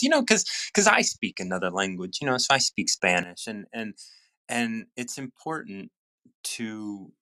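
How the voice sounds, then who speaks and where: A man's voice: mid-range voice, bright, forceful tone, dry recording. Earnest male host with a dry sound. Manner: earnest, repeats words and restarts often. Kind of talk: casual live video chat.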